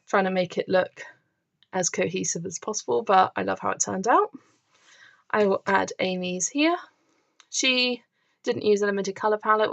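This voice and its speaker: Chatty woman with a gravelly edge. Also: mid-range voice. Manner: chatty, enthusiastic, explains her process. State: voice starting to crack. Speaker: colouring channel host. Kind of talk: casual show-and-tell narration. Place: indoors at a table, filming books from above.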